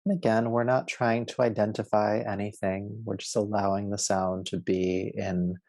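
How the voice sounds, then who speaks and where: A man guiding a yoga nidra relaxation as a teacher, with a soothing, measured, instructive delivery. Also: lowish voice, flat delivery, darkish tone, no echo. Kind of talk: guided relaxation meditation.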